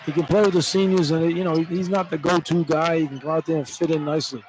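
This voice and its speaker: A man's voice: fairly high pitch, steady tone, fast talker, darkish tone, a little hiss or hum, poor audio. A man giving live basketball analysis as a color commentator, talking in a conversational, explanatory way. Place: indoor basketball arena.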